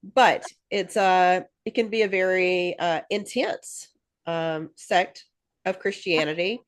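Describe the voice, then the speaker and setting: Rambling woman podcaster, lowish voice. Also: slow. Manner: rambling, many fillers and restarts; laughs easily. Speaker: podcast co-host. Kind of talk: casual chat.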